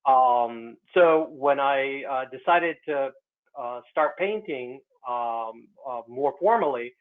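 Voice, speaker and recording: A man's voice: medium pitch, animated, takes it slowly. A man, an artist, speaking in a casual online talk, hesitant and full of fillers. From United States. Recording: tinny phone-like line.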